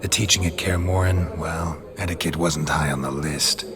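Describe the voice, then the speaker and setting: An actor playing a terse, gruff male monster hunter who speaks in dry, clipped lines, music underneath. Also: extremely low pitch, fairly even intonation, moderate pace. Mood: curt. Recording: music behind.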